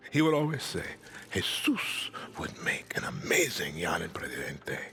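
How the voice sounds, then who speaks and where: An actor playing a calm, controlling middle-aged dictator in a video game, with a Latin American Spanish accent and a measured, menacing delivery. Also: male voice, low-pitched, vocal fry, in a whisper, soft background music, exaggerated voice. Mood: brooding, solemn. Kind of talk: cinematic video game acting.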